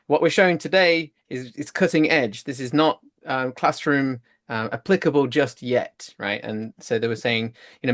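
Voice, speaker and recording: A man's voice: mid-range voice, fairly bright tone. A man co-hosting an interview, talking casually with many fillers and light jokes. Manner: chatty, lots of ums, joking. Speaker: conference co-chair, interviewer.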